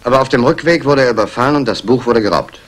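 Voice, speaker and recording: An actor playing a dry, wry police inspector who probes politely in a 1960s German radio drama. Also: male voice, mid-range voice, quick pace, a bit bright, lightly acted. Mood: probing.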